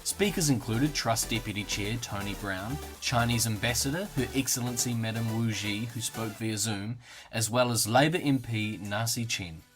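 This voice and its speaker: A man giving a scripted narration for a promotional video, reading in an even, upbeat way. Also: lowish voice, moderate pace, faint music behind.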